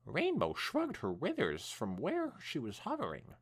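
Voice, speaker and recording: A man's voice: mid-range voice, huge pitch swings, measured pace, slightly rough. A man co-hosting a podcast, narrating a story aloud and breaking into casual banter. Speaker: podcast co-host.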